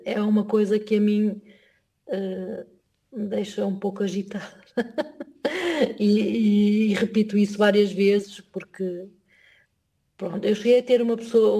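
Female interviewee, European Portuguese accent. Relaxed, mellow timbre, halting short phrases, everyday conversational register. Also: mid-range voice. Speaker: interview guest, emigrant living in Germany.

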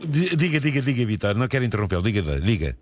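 A man's voice: mid-range voice, sing-song, racing, slight background noise. A man hosting a casual radio chat with a European Portuguese accent. He talks in a jovial way with many fillers and friendly asides. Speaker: radio host.

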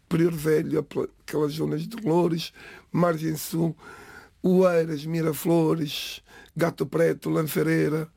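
Man, 40s, European Portuguese accent, gravelly, dry close sound, measured testimony. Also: a little high, a little mellow, dry recording. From Lisbon area, Portugal. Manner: measured, lists names slowly, frequent restarts. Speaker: interviewee. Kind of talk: reflective personal testimony.

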